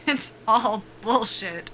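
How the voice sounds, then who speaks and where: A woman's voice: a little high, sharp tone, slight background noise, exaggerated voice. A woman acting a nervy, foul-mouthed young soldier in a sci-fi audio drama, wry and defiant in a casual, joking way. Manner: sardonic, casual, sweary, joking under nerves.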